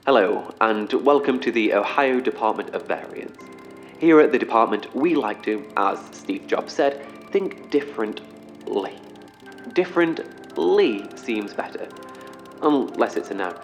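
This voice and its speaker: A wordy, formal scientist professor. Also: male voice, mid-range voice, animated, soft background music. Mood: cheerful, presenting. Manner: formal, wordy, halting under pressure. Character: eccentric male scientist professor, corporate and mildly awkward. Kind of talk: orientation film and meeting speech in audio drama.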